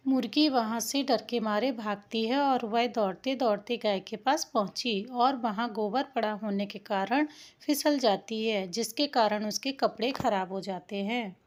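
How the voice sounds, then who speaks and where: Slow, high-voiced woman storyteller. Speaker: narrator. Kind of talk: scripted children's folk tale narration.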